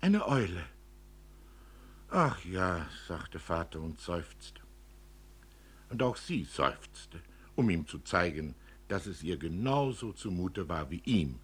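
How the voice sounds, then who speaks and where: Male narrator for radio literature, husky voice, very animated pitch, expressive storytelling. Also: slow. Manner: expressive, acting out dialogue. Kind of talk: dramatic literary reading for radio.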